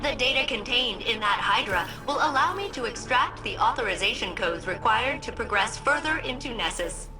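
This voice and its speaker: A woman acts out a ship's artificial intelligence from a video game. She is high-pitched and highly expressive, yet exact and formal in her phrasing. Music plays clearly behind her. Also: moderate pace, hardly any pauses, close to an everyday voice, rough recording. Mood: precise, businesslike. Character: ship AI woman, precise, helpful, occasionally flustered. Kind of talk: scripted video game dialogue.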